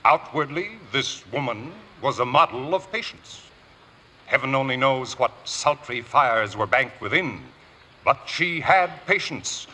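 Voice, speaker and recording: An oratorical Crown prosecutor in a vintage radio drama. The mid-pitched voice moves widely and cuts sharply, grave and insistent with formal rhetoric. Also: male voice, animated, bright, forceful tone. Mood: grandly accusing. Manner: rhetorical, formal, deliberate emphasis. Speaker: actor playing prosecutor. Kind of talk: 1950s radio courtroom drama acting.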